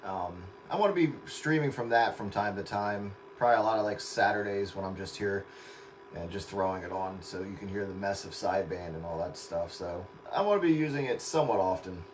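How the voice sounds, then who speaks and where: A man's voice: sits low, expressive, a little fast, a little hiss or hum, rough recording. A man chatting casually and in a rambling, easygoing way as he hosts a stream from his radio set. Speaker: radio operator hosting a livestream.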